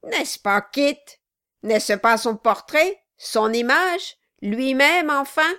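Woman doing a loud, snarling cruel dwarf. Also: on the high side, unhurried, smooth. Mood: frenzied. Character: cruel, violent male dwarf, menacing and bullying. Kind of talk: audiobook reading.

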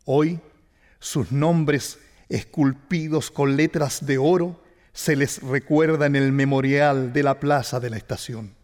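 A man's voice: medium pitch, fairly even intonation, slow, a little mellow. A man giving a solemn commemorative speech with recited verse. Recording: long hall reverb.

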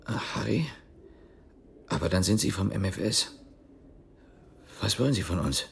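An actor in a radio drama playing a wary, exhausted man on the run, with a husky, gravelly voice. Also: low voice, animated, measured pace, a little hiss or hum, exaggerated voice. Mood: confused, wary.

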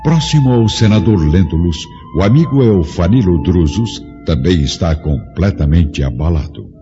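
A man's voice: sits low, animated, normal speed, dark tone. A man narrating a radio play in a solemn, dramatic way. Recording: dull audio with long reverb and music.

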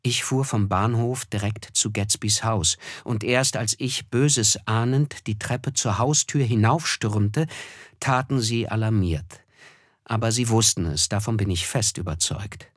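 An actor playing a reflective, melancholy narrator in a literary radio play, speaking in a measured, solemn way. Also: male voice, lowish voice, dry recording, studio quality. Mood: sober, recollecting. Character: reflective, melancholy male narrator recalling a tragedy.

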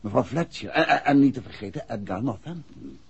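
An actor playing a composed, inquisitive gentleman detective in a Dutch radio play from the 1960s, with a Netherlands accent and measured, courteous speech. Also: mid-range voice, animated, vocal fry, breathy edge, full character voice. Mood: pensive. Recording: dull, muffled 1960s radio recording.

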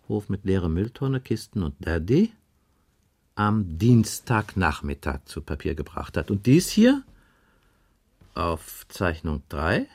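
An actor playing a matter-of-fact, insistent police inspector questioning a witness in a radio crime drama. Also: male voice, lowish voice, darkish tone, full character voice. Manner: matter-of-fact, probing, deliberate.

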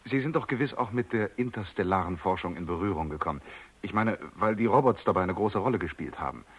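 An inquisitive young man of the press in a radio play. His voice is deep and husky, his manner courteous but persistent. The sound is muffled, like an old broadcast. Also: lively intonation, fast. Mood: eager, courteous. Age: young adult. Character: eager, polite, inquisitive young male reporter. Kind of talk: radio drama acting and narration. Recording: dull vintage radio recording.

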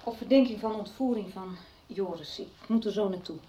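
A woman's voice: medium pitch, a little fast, dark tone, a little hiss or hum, natural voice. An actress playing a woman hotly defending a dead friend against her friends' judgement. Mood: defensive. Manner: emotional, defensive. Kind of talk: 1990s radio soap acting.

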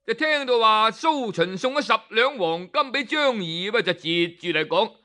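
A man narrating a folk tale in a lively, dramatic storytelling style. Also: high voice, unhurried.

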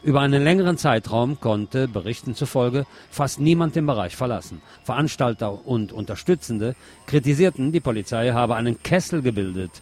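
A man hosting a radio show, presenting commentary and reading news aloud in a deliberate way with pauses. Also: medium pitch, animated, darkish tone.

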